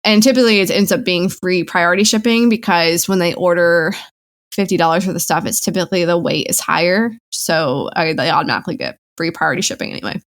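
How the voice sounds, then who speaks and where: A woman co-hosting a podcast, chatting casually in a giggly, bubbly way and speeding up when she feels rushed. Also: on the low side. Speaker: podcast co-host.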